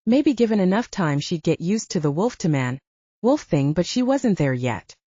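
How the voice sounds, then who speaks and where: Woman audiobook narrator, low and mellow, dull dry lo-fi sound. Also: big pitch swings, normal speed, breathy edge, no echo. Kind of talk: scripted narration.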